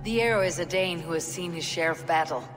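Actress doing a low voiced viking warrior woman, grim n measured, game cutscene, echoey hall w music. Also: lightly acted. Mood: resolute. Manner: measured, grim, matter-of-fact. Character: stern, resolute female Viking warrior. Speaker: video game lead character. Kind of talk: dramatic game cutscene acting. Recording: reverberant stone hall, music underneath.

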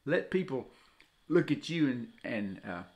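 A man's voice: medium pitch, big pitch swings, unhurried, darkish tone. An older man with a Southern US accent giving an informal, earnest devotional talk, often repeating phrases for emphasis. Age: 60s or older. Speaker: co-host of a devotional video. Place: home kitchen, indoors.

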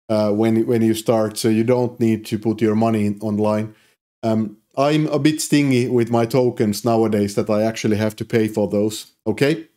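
A man in his thirties with a Finnish accent, casually walking through his code in a tutorial, with frequent fillers. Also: medium pitch. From Finland. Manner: relaxed, explanatory, frequent "uh" fillers. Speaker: programming YouTuber. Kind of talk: casual screen-recorded coding tutorial. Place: home office, indoors.

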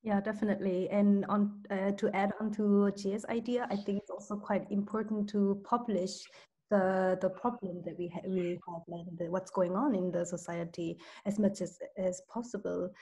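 A young woman on a video call, speaking in a mellow, mid-pitched voice. She talks hesitantly, filling gaps and restarting as she works out her point. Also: fairly even intonation, moderate pace, husky, rough recording. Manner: hesitant, with many fillers and restarts. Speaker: community organizer. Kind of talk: panel discussion over video call.

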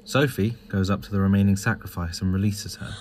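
Low-voiced male drama narrator. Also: fairly even intonation, a little fast, darkish tone, a little hiss or hum. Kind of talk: scripted audio drama narration.

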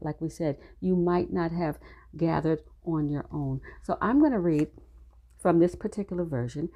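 Dark-voiced woman teaching scripture. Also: lowish voice. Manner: earnest, instructive, addresses listeners directly. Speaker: Bible study teacher.

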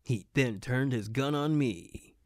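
A man's voice: medium pitch, slow, a little mellow, dry recording, studio quality, natural voice. A man playing an earnest private detective who narrates his own case in a noir-parody audio drama. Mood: determined.

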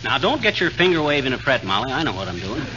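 An actor playing a folksy, blustering husband full of wisecracks in a 1940s radio comedy. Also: male voice, mid-range voice, sing-song, fast, music in the background, natural voice. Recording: noisy, muffled 1940s radio recording.

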